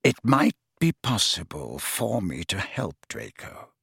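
A man voicing a cold, guarded man who speaks slowly and quietly. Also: on the low side, very animated, gravelly, husky, dry recording, studio quality, pitched below the performer's own voice, exaggerated voice. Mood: firm. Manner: slow, quiet, measured. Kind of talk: audiobook character voice.

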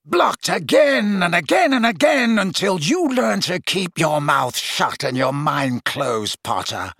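A man voicing a furious, sneering adult wizard, shouting with contempt and command in an audiobook. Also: high voice, very animated, slow pace, vocal fry, dry recording, studio quality, voice pushed up, exaggerated voice.

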